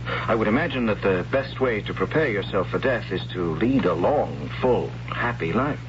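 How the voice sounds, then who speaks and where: A man's voice: lowish voice, expressive, normal speed, natural voice. An actor playing a good-humoured, puzzled condemned man in a 1970s radio drama, with a wry, questioning manner. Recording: muffled, noisy 1970s radio recording.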